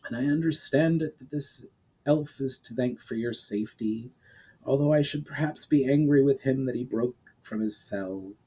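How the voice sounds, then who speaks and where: A man voicing a fatherly fantasy duke in a storybook reading, firm, formal and concerned, with measured gravity. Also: medium pitch, dark tone, husky, voice pushed up, close to an everyday voice, poor audio. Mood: stern.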